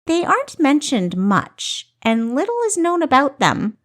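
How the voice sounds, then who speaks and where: A woman voicing an animated female historian who retells ancient legends with emphatic storytelling in a fantasy audiobook. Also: high voice, a little slow, pitched above the performer's own voice, full character voice. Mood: knowing, storytelling.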